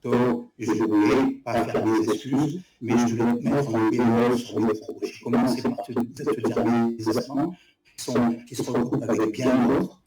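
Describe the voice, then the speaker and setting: Man, blunt critic, halting delivery; recorded far off in an echoey room with a loud crowd behind. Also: mid-range voice, fairly even intonation. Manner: blunt, critical, hesitant restarts. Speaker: guest in a live debate. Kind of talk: live stream debate.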